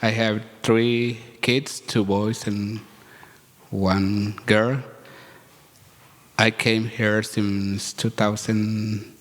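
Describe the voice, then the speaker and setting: Man, halting speech, in a hall. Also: on the low side, flat delivery. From Guatemala. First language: Mam. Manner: halting, searching for words. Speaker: workshop participant. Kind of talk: storytelling at a community workshop. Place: community hall, live event. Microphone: handheld mic. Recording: long hall reverb.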